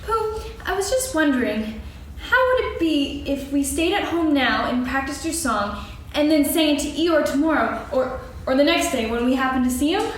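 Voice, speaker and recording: A woman's voice: high voice, lively intonation, normal speed. A woman playing a small, timid, helpful pig in a staged children's story reading, tentative and politely questioning. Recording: echoey stage recording, faint noise.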